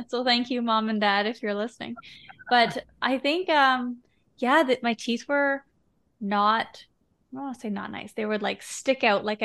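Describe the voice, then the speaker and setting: A woman's voice: fairly high pitch, fairly bright tone. A woman hosting a podcast, chatting casually and candidly in a reflective, informal way. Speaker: podcast host. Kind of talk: casual conversational interview.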